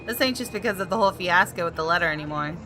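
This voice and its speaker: A woman gaming host reading visual novel narration aloud in an expressive storytelling style. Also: on the low side, rapid-fire. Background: background music.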